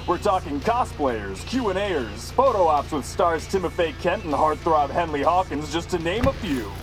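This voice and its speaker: Man playing a showy high-pitched radio DJ. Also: animated, normal speed, close to an everyday voice. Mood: hyped. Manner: hyped, punchy. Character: hyped, showy local radio DJ. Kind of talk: radio DJ patter. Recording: music behind the voice.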